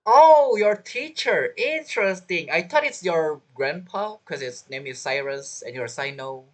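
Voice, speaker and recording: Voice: medium pitch, lively intonation, a little slow. A streamer giving casual gameplay commentary, joking and teasing as they talk back to characters.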